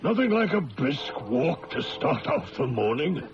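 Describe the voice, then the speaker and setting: An actor playing a genial, inquisitive company boss in an old-time radio drama, chatty and genteel. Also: male voice, a little high, big pitch swings, measured pace. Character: genial, inquisitive gentleman diamond-firm boss in South Africa. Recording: dull lo-fi radio recording, faint hiss.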